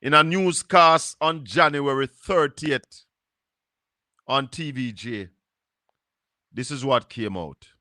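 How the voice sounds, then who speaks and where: A Jamaican man with a Jamaican accent hosts a live stream in an unhurried, musing way, dropping into patois. His voice is bright and forward, and the sound is close and dry with no room around it. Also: medium pitch, no echo. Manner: slow, musing, drops into patois. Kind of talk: casual live-stream commentary.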